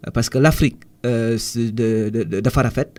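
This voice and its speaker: Mellow-voiced Senegalese man, hesitant. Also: mid-range voice, fairly even intonation. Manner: measured, thoughtful, pauses with frequent hesitations and restarts. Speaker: musician guest. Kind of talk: radio interview. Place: radio studio.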